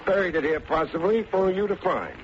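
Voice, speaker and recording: Radio detective: American, wry and probing, swinging intonation, dull lo-fi old broadcast sound. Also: male voice, sits high, lively intonation, fairly quick. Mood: matter-of-fact, pressing. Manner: wry, wisecracking. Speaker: detective and narrator. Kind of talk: old-time radio drama acting with first-person narration. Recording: muffled, noisy old radio recording.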